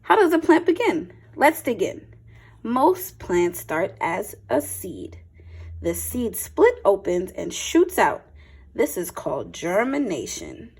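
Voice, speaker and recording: Female primary teacher for a picture book read-aloud: slow, deliberate, a little husky, explaining simply to little kids. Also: mid-range voice. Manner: simple explanatory asides to young listeners; expressive, deliberate phrasing for children. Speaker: teacher reading aloud to young children.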